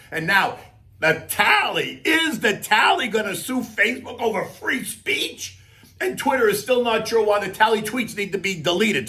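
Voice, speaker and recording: A man with a scratchy, hoarse voice giving emphatic, conversational market commentary as a host, full of rhetorical questions and folksy asides. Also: high-pitched, pushed and bright. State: hoarse, losing voice. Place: outdoors.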